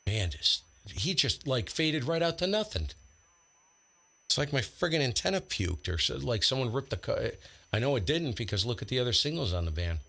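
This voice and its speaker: A man's voice: medium pitch, sing-song, normal speed, creaky, pushed and bright. An American man live-streaming ham radio with casual, blunt, slangy commentary and radio calls. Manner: blunt, slangy, exasperated grumbling.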